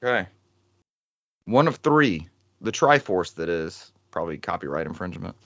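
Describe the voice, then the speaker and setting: A man with a lowish voice hosts a gaming podcast in loose, jokey banter. The sound is very dry, with a slightly dull, lo-fi edge. He is happy to swear along the way. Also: very animated, moderate pace, a bit bright, dry recording. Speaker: podcast host.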